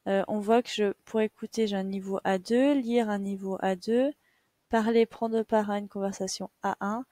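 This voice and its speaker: A woman's voice: medium pitch, a little mellow, no room sound. A young woman giving a patient, step-by-step screen tutorial as a teacher, with many fillers. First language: French. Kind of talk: screen-share tutorial lesson.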